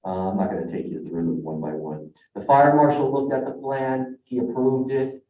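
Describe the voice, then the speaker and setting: Man pitching a project to a board, halting with lots of ums, dull muffled audio in an echoey hall. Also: level tone. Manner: informal, halting, with many "um" and "uh" fillers. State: tired and losing his voice. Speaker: developer's representative presenting a project. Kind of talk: presentation to a zoning board. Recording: dull, echoey council chamber audio, mic at a distance.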